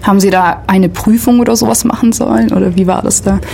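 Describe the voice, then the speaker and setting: A woman's voice: mid-range voice, steady tone, quick pace, gravelly, a little mellow, a little hiss or hum. A woman hosting a radio program, introducing her studio guest and asking friendly, prompting questions. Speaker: radio show host. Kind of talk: radio interview.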